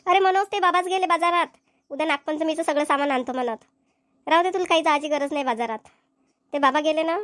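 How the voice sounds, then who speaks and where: Woman voicing a high-pitched rural Maharashtrian cartoon village woman. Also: fast, fairly bright tone, no room sound, clean studio sound. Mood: motherly. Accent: Maharashtrian, rural Vidarbha Marathi. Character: young village women, mothers and nagging wives in a comedy. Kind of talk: cartoon comedy dialogue.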